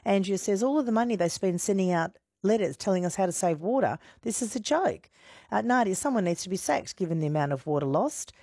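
A woman hosting live radio, brisk and friendly as she links segments and reads out listener messages. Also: medium pitch, animated, darkish tone, breathy edge, no room sound, clean studio sound. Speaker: radio host.

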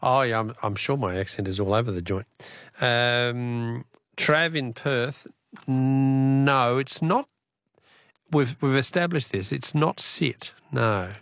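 A man's voice: medium pitch, takes it slowly, a bit bright, no echo, studio quality. An Australian man hosting a live phone-in radio quiz, chatting with callers in a jokey, bantering way.

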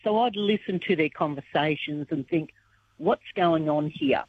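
A woman from Australia, croaky-voiced and earnest, talking emotionally in a radio interview. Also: low voice, unhurried, slight background noise. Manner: earnest, emotional when recalling the past. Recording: tinny phone line.

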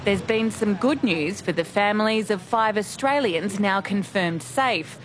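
A woman reporting the news in a measured, scripted delivery. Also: mid-range voice, crowd noise.

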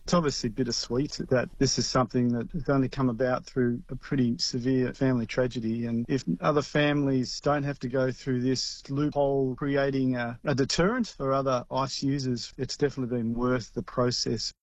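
Brief: man, monotone and subdued, dull lo-fi recording. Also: medium pitch, a little fast, hardly any pauses, dry recording. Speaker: interviewee. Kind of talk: news interview clip. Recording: muffled lo-fi clip.

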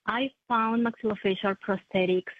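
A woman, a prosthetist answering interview questions in a halting, self-correcting way. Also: mid-range voice, fairly even intonation, dry recording. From Ecuador. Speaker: maxillofacial prosthetist, guest. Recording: tinny phone-like line, dry.

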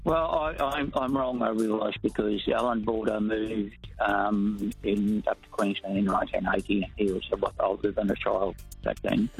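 Aussie guy on the phone to the radio, gravelly and a bit husky, music faint behind. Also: lowish voice, flat delivery, moderate pace, poor audio. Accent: Australian. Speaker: caller. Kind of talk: casual phone chat.

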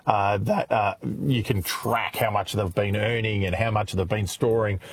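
A man's voice: fairly low pitch, fairly even intonation, normal speed, a little hiss or hum. An Australian man chatting on radio as a regular guest, talking easily with fillers and restarts. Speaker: rural radio presenter, guest contributor. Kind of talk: casual radio chat.

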